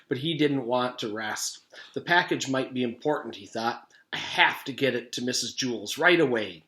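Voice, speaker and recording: A man narrating a children's storybook as a teacher reading aloud, with expressive, deliberate storytelling. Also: mid-range voice. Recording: echoey room.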